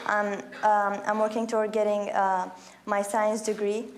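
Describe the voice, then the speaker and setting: A woman in her early twenties from Iraq, speaking as a panelist with many pauses, ums and restarts. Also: mid-range voice, level tone. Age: 20s. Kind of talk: panel discussion.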